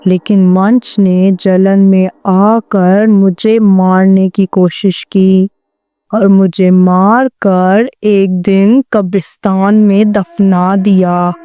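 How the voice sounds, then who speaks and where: A woman voicing a vengeful female ghost witch who speaks in a slow, drawn-out, menacing way. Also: medium pitch, flat delivery, warm tone, smooth, dry recording.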